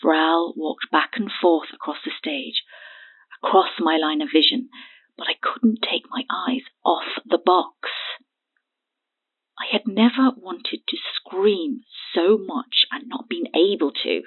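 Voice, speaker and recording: An actress playing a frightened, paranoid woman recounting a horror in halting speech over a phone in an audio drama. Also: lowish voice, animated, slightly rough, exaggerated voice. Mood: haunted, tense. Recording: thin, phone-like band-limited sound.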